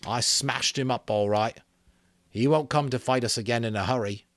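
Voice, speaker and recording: Man acting an excited, boastful schoolboy, pitched up high. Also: moderate pace, no room sound, close to an everyday voice. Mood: defiant, boastful. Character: excited schoolboy boasting about a night fight.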